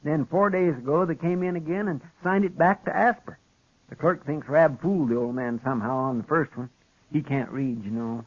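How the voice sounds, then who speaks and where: An actor playing an eager, deferential marshal's helper with rustic, folksy grammar in an old radio western. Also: male voice, a little high, normal speed, natural voice. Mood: earnest. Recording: dull lo-fi 1950s radio recording.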